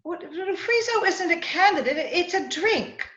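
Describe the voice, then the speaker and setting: Woman playing high, animated, inquisitive young lady. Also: unhurried, full character voice. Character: Susie, earnest, inquisitive young female companion. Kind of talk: old-time radio drama acting. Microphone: video call. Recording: roomy, dull video-call audio.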